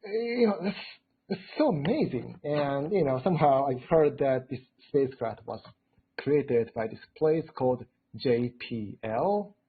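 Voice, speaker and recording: A man in his forties from Japan with a Japanese accent, telling his story as a guest speaker, full of "you know" fillers and rising excitedly in pitch. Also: unhurried, husky. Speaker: guest speaker, robotics researcher. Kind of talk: storytelling in a live lecture chat. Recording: tinny phone-like line.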